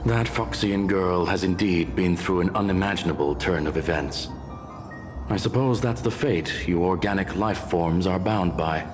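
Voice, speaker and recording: A man voicing a calm, logical robot scholar in a video game, speaking in a formal, measured way. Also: sits low, lightly acted. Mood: measured, courteous. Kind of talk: scripted video game dialogue. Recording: long reverb, music behind.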